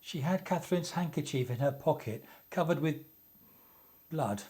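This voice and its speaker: A man's voice: fairly high pitch, expressive, normal speed, darkish tone, lightly acted. A man playing an earnest, troubled young family friend in a radio play, grave and hesitant.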